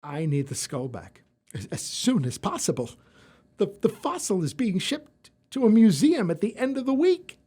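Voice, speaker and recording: Actor as husky, flustered male professor. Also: sits high, big pitch swings, moderate pace, mellow, heavily acted. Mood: anxious, hesitant. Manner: hesitant, stammering. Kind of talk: audio drama acting.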